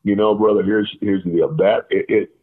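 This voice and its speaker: A man with a very deep, husky voice tells long, rambling stories as an interview guest, full of fillers and quoted dialogue. He comes through a narrow, tinny phone line. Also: steady tone, moderate pace. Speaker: guest, retired wrestler. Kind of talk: casual storytelling interview.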